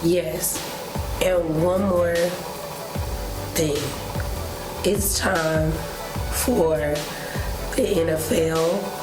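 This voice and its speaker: A woman co-hosting a vlog, speaking candidly and conversationally with earnest, deliberate emphasis. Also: deep voice. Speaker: vlog co-host. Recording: roomy echo, music underneath.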